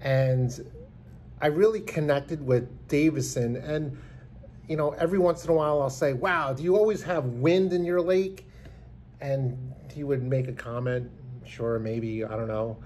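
A man's voice: medium pitch, measured pace, darkish tone, slight background noise. A man in his fifties giving an earnest, rambling tribute to camera from a small indoor room, filmed close on a phone. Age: 50s. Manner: earnest, rambling, many restarts. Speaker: vlogger. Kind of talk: heartfelt tribute talk to camera. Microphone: phone camera held close.

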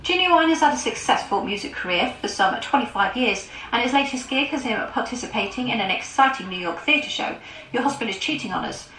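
A woman reading scripted entertainment news in an even, measured way, played back from a clip. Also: fairly high pitch, reverberant, slight background noise, poor audio.

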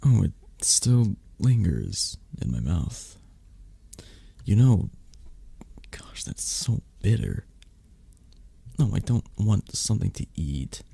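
A man playing a sick, grumbling, needy mafia boss in an ASMR romance roleplay, soft, breathy and raspy, halting and plaintive. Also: on the low side, big pitch swings, no room sound, exaggerated voice. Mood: miserable, plaintive.

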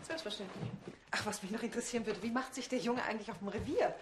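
A woman's voice: on the high side, quick pace, echoey room, background noise, lightly acted. An actress playing a doting, fragile middle-aged mother in a TV crime drama. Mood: polite, fussing.

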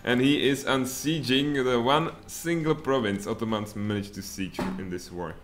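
A man in his thirties with a Czech accent, streaming a strategy game from home in lively, muttered commentary with sudden outbursts. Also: mid-range voice, normal speed. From Czech Republic. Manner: animated, muttering asides, sudden exclamations. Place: home room, indoors.

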